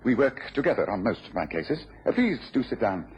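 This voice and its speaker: An actor playing a restless, analytical gentleman detective in a radio drama, theatrical and precise. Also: mid-range voice, sing-song, a little fast, close to an everyday voice. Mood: courteous, probing. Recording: dull lo-fi radio recording, faint noise.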